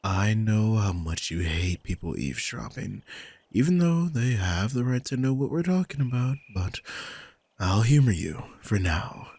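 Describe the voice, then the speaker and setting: A man playing a grumpy, sardonic old vampire mentor in an intimate audio roleplay, husky and informal, prone to swearing. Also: on the low side, expressive, a little slow, a little mellow, dry recording, full character voice. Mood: defensive, sardonic.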